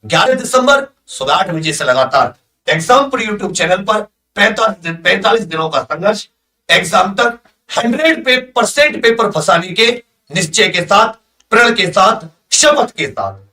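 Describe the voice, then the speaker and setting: Male exam coach, sharp bright delivery, slightly off-mic, motivational and emphatic. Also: high voice, expressive, normal speed, recorded from a distance. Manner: emphatic, motivational, repeats phrases for stress. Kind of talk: energetic exam-prep announcement to students.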